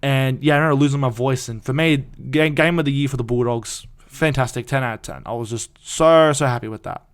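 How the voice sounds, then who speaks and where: A young man, hosting a casual solo fan podcast in an excited, rambling way with frequent restarts. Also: mid-range voice, fairly quick, few pauses, clean tone.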